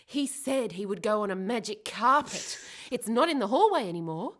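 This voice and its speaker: A woman playing an affectionate, excitable young Victorian housemaid in a period radio play. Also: mid-range voice, moderate pace, natural voice. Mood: polite, confiding.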